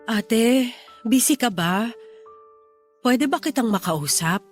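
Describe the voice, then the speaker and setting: A woman's voice: on the high side, animated, slow pace. A Filipino woman playing a desperate wife and mother pleading earnestly with her sister-in-law in a radio drama. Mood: anxious pleading. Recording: music under the dialogue.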